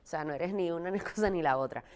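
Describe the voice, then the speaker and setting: A woman raised in Puerto Rico, an actress answering interview questions thoughtfully, often pausing with fillers. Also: fairly low pitch, darkish tone, clean tone. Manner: thoughtful, frequent restarts and "eh" fillers.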